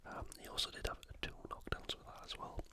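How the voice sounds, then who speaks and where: A person whispering breathily and close to the microphone, giving an unhurried, soft-spoken ASMR sports recap. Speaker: ASMR YouTuber.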